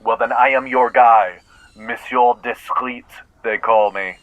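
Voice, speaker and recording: An actor playing a self-important, eager, rambling out-of-work stage actor in a comedic audio play, theatrical, effusive and earnest. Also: male voice, mid-range voice, fairly even intonation, unhurried, no room sound, phone-quality sound, close to an everyday voice. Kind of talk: naturalistic comedic audio drama.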